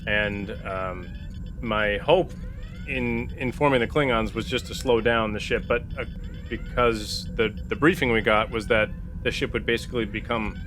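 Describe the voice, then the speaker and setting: A man's voice: lowish voice, moderate pace, music in the background. A man in casual game-table discussion, talking as a player and tentatively thinking aloud.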